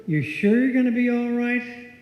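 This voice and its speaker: A man's voice: very high voice, moderate pace, background noise. A man playing a friendly, protective small-town policeman in live old-time radio drama style. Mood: genial, concerned. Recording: echoey hall, dull lo-fi sound.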